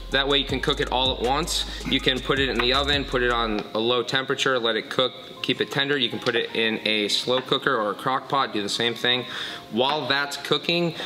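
An upbeat man in his thirties explains meal prep as a fitness coach, ticking off tips in short quick phrases. He speaks at a middle pitch inside a gym, with music playing beneath him. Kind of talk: casual instructional talk. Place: gym, indoors, at a counter.

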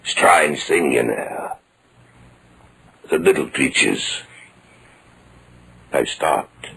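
An actor playing a sinister, mysterious stranger with a London accent, slow and taunting, in an old radio horror drama. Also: male voice, a bass voice, expressive, creaky, a bit bright, breathy edge, heavily acted. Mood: sly menace. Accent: London English. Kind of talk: 1940s radio horror acting.

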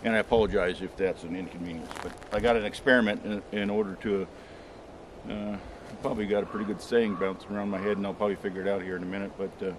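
An older man hosting a homestead vlog, talking casually and haltingly to the camera outdoors in a pine forest, with lots of fillers and restarts. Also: on the low side, fairly even intonation. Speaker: homestead channel host. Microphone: camera mic from a few meters away. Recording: noisy outdoor camera audio.

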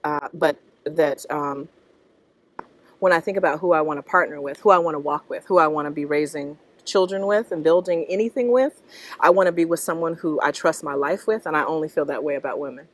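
A middle-aged American woman answering interview questions reflectively, in a thoughtful, halting manner that often checks in with the listener. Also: deep voice. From North Carolina, US. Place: outdoors in a leafy park.

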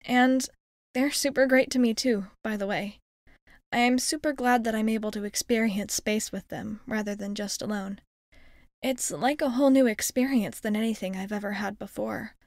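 A woman's voice: a little high, steady tone, moderate pace, a little mellow, dry recording, studio quality, close to an everyday voice. A woman playing a friendly, shy young alien crewmate in an ASMR roleplay, speaking hesitantly and earnestly in casual girlish phrasing. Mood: earnest, grateful.

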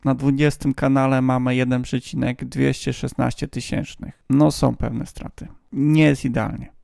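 Guy doing a laid-back hobby explainer, super gravelly fry in his voice, dry close sound, kinda tongue in cheek. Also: mid-range voice, flat delivery, moderate pace, darkish tone, dry recording. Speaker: hobbyist radio video host. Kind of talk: casual explainer voice-over.

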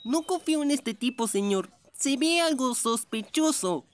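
A woman's voice: high voice, lively intonation, a little slow. A woman voicing a small, naive young cartoon canine sidekick, chatty, eager and comically earnest. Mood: suspicious, chatty. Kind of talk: animated fan dub acting.

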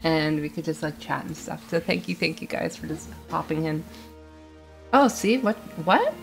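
A woman's voice: deep voice, lively intonation, normal speed, gravelly, faint music behind. A woman streamer chatting casually while playing, rambling and thinking aloud with lots of ums and small laughs, a bit sniffly. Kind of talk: casual gaming livestream chat.

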